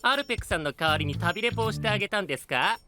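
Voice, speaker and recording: A self-assured young tease in an anime audio drama. He keeps his speech polite but smug and needling, with lively swings in pitch over background music. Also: very fast. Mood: teasing. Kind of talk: Japanese anime voice drama acting. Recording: music behind the voice.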